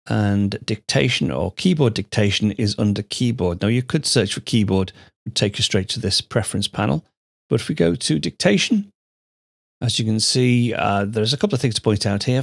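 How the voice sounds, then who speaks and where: A man hosting a computer tutorial, explaining steps in a measured, steady way on a studio microphone. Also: on the low side, creaky, no echo. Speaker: tutorial host. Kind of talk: screencast software tutorial narration.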